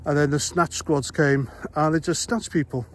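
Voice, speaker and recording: A man's voice: fairly high pitch, fairly even intonation, moderate pace. A man from Wales with a Welsh accent, an ex-army vlogger giving a blunt, conversational opinion monologue full of rhetorical questions.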